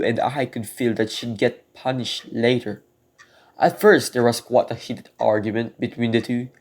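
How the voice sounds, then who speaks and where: A man's voice: mid-range voice, lightly acted. A man playing an uneasy burglar in an amateur radio drama, with an earnest storytelling manner. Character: uneasy male burglar, earnest in telling his tale.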